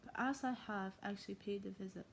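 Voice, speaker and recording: A woman playing a dry, teasing older gentleman from a classic novel, in a clumsy put-on German and then Scottish accent, stumbling and restarting words. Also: mid-range voice, fairly quick, gravelly, a little hiss or hum, close to an everyday voice. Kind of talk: amateur read-aloud of a classic novel.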